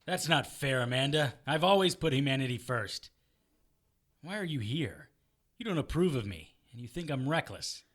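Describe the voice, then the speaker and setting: Wounded supernatural detective, lively intonation, medium pitch, wry, stubborn, naturalistic audio drama. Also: male voice, normal speed. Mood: defensive. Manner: wry, defensive.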